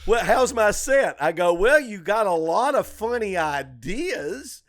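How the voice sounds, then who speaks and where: A middle-aged man chatting casually as a podcast host, telling stories in an animated, opinionated way and swearing freely. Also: sits high, a little slow, no echo. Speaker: comedy club owner and comedian, podcast host. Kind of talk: casual shop-talk chat.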